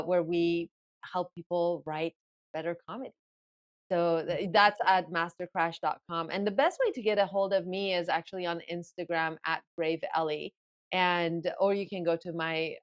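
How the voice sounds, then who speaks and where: Woman, farsi is her first language, lowish voice, chatty guest explaining stuff, acts out thoughts. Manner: explains in lively bursts, acts out inner thoughts. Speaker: guest author and ghostwriting coach. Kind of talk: casual interview talk.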